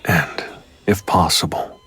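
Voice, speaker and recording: An actor playing a calm, resolute young male hunter in a game, speaking in a measured, serious way. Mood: brooding, resolved. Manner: measured, low-key, serious. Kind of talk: dramatic game voice acting, inner monologue and dialogue. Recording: loud background music under the voice.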